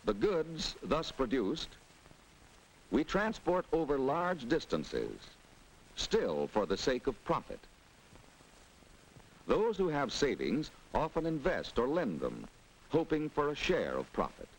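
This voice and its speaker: An American man playing a formal town treasurer, giving a rhetorical, deliberate speech in 1940s instructional film style. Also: medium pitch, wildly swooping pitch, full character voice, rough recording. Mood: measured, didactic. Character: formal town treasurer lecturing on economic principles. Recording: hissy 1940s film soundtrack.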